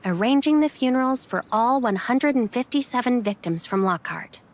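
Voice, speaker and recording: A woman playing a caring but reserved young woman in an anime game, speaking gently and reassuringly. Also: fairly high pitch, expressive, moderate pace, close to an everyday voice.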